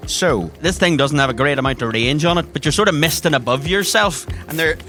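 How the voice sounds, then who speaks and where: A man's voice: a little high, fairly quick, bright, forceful tone, faint music behind. A man narrating a tabletop adventure as game master, in vivid, theatrical and descriptive language.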